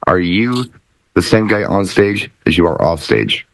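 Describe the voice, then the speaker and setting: A man's voice: low voice, very animated, measured pace, vocal fry, rough recording. A man hosting a casual panel chat among DJs, posing questions to the group. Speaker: host of a panel show for DJs.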